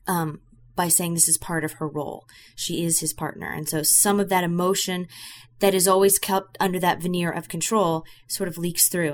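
A woman's voice: on the low side, a bit bright, a little hiss or hum. A woman who voices a character in an audio drama, answering interview questions in a thoughtful, rambling way with many fillers and restarts.

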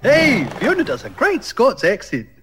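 A man's voice: very high-pitched, huge pitch swings, a little slow, over music, full character voice. A man playing a sardonic, goofy joker in a scripted comedy skit about an audio crew. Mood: teasing, wry. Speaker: podcast crew member playing himself.

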